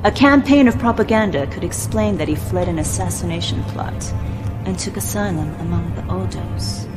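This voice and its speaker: A young actress playing a cold, imperious female adviser in a science-fiction game drama. Her delivery is formal, clipped and commanding, over clear background music. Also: lowish voice, normal speed, lightly acted, rough recording. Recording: music bed behind the voice.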